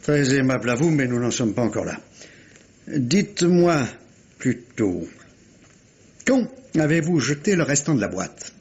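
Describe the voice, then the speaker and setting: A man's voice: mid-range voice, sing-song, a little slow, pauses often, a little mellow, husky. An actor playing a shrewd, politely ironic police inspector in a mystery radio play, musing and hesitating as he talks. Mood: dry, probing. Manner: hesitant musing, repeating words, dry irony. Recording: dull, muffled vintage-style radio recording.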